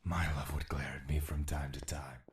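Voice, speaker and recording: A man's voice: rumbling low, little pitch movement, normal speed, slight background noise. A man playing a prince's wry, unimpressed confidant in an audio drama, with dry, teasing delivery.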